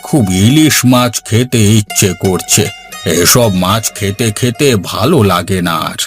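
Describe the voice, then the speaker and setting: A man voicing a frail, gentle old father-in-law, feeble and hesitant, in a cartoon. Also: lowish voice, expressive, unhurried. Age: older. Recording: music under the voice.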